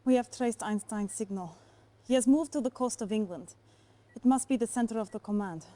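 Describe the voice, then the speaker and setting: An actress playing a cool, assertive Soviet intelligence officer, speaking formally and firmly in a video game cutscene. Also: female voice, on the high side, fairly quick, dark tone, natural voice. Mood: brisk report. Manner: formal, firm, precise.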